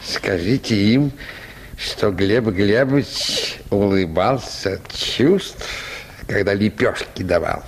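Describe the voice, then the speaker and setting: A man's voice: on the low side, slow pace, slight background noise. An actor in a radio play voicing a flirtatious, sentimental, self-pleased pharmacy man with a gallant drawl. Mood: lovestruck. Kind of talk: radio play acting of a comic short story.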